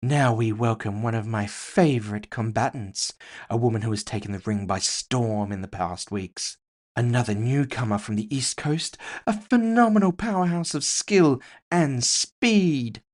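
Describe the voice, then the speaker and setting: A man voicing a female kitsune fight announcer in cyberpunk audio fiction, showy and rousing, with booming, drawn-out ring calls. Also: close to an everyday voice. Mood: rousing showmanship.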